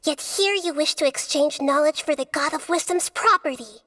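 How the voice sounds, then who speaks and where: A woman voicing a small, wise young goddess in a video game, speaking in a measured, earnest and formal way. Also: high voice, fairly bright tone. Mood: wary, dignified.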